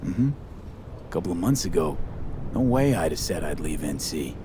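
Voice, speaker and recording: Deep-voiced weary mercenary V, noisy backdrop. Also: male voice, very animated, normal speed. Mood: reflective. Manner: terse, clipped, understated. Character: world-weary young male cyberpunk mercenary, terse, wry, sincere. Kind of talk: naturalistic video game acting.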